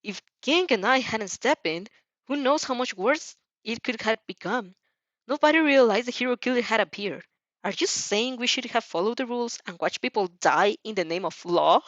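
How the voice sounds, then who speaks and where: A woman's voice: fairly high pitch, lively intonation, normal speed, no room sound, highs cut off, pitched above the performer's own voice, natural voice. Woman as an indignant, heated teenage boy. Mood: indignant rage. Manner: heated, insistent. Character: indignant, intense teenage boy hero student.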